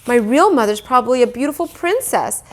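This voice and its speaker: A woman's voice: fairly high pitch, big pitch swings, brisk, voice pushed up. A woman voicing an aggrieved fourth-grade boy in a storybook, sulky and complaining with indignation. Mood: sulky, hurt.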